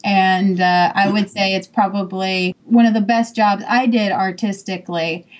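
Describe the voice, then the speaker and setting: Woman publisher guest, halting and filler-prone, mid-range voice. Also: mid-range voice, fairly even intonation. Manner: hesitant, filler-heavy. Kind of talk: casual panel chat.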